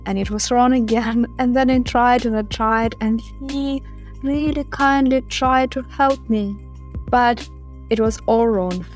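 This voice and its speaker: A middle-aged woman, not a native English speaker, tells a personal story slowly and earnestly in a slightly high voice. Soft music plays faintly behind her. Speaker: caller sharing a story. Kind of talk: personal recorded anecdote.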